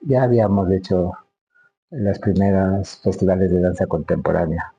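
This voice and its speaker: An older man with an Ecuadorian accent interviewing in a casual way, halting and repeating phrases as he searches for words. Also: sits low, fairly even intonation, vocal fry, warm tone, highs cut off, slight background noise.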